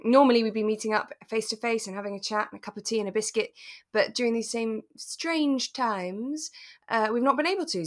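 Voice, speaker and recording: A woman with a British accent, co-hosting a podcast in a relaxed, chatty style. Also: mid-range voice. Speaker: podcast co-host.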